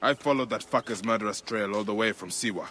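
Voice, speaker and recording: An actor playing a grieving, hardened Egyptian warrior bent on revenge in a video game, grave and intense. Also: male voice, on the low side, quick pace, a bit bright, slight background noise, lightly acted. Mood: grave resolve. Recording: echoey reverb with clear music.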